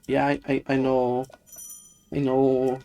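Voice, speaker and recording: A man's voice: medium pitch, completely flat delivery, slow, dark tone. A man giving casual, rambling live commentary on a video game, thinking aloud in a self-deprecating way. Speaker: game streamer.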